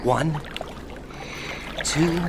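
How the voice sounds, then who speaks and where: A man playing an earnest, protective young special operative in a video game, speaking in a steady, encouraging way. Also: on the high side, extremely slow, very noisy. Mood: reassuring. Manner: reassuring, encouraging. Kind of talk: dramatic video game voice acting.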